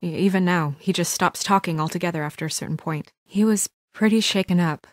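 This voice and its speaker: An actress plays a guarded, determined young fairy-tale woman in a naturalistic video game drama. Her voice sits fairly low, with an earnest, businesslike firmness. The sound is close and dry, with no room on it. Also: no echo, close to an everyday voice. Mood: troubled, resolute. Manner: earnest, measured, firm, businesslike.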